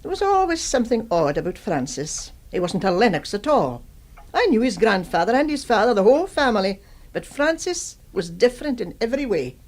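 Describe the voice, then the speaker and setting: An actress playing a wry, sharp-tongued retired schoolteacher of seventy-seven in a radio drama, teasing and deliberate. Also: female voice, on the high side, big pitch swings. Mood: reflective, certain. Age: 70s.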